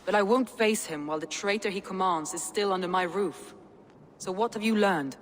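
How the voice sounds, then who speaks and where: An actress as a stern female warrior chieftain from a historical video game. She speaks gravely and deliberately, carrying the weight of betrayal, over faint background noise. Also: mid-range voice, lightly acted. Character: commanding Danish woman clan leader, stern and wounded by betrayal. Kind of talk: cinematic game voice acting.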